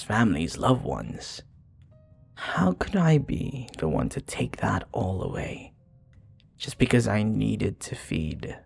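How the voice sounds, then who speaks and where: Man acting vampire bartender, very low gravelly voice, slow, hesitant, confiding, remorseful, intimate ASMR roleplay. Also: exaggerated voice. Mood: somber, reflective. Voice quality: breathy, husky low voice. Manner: hesitant, soft-spoken, sighing, confiding. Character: remorseful, flirty male vampire bartender confessing his past.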